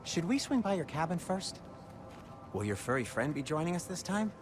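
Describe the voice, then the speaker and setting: Game companion: fretful, fussy man, drily sarcastic, very animated intonation. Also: fairly high pitch, moderate pace, noisy background. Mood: awkward, dry. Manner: nervous hedging with dry sarcasm. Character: anxious, fussy, dry-witted adult male companion. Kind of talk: video game cutscene acting.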